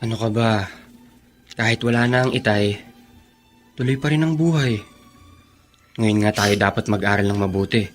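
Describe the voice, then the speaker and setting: A man's voice: on the low side, moderate pace, pauses often, soft background music, lightly acted. A man playing a responsible, protective young eldest son in an earnest radio drama. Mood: reassuring.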